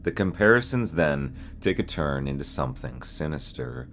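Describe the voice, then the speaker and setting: A young man reading scripted narration as host, in a measured, serious, ominous storyteller manner. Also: very deep voice, very animated, a bit bright, slight background noise. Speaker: host and narrator of a horror explainer channel.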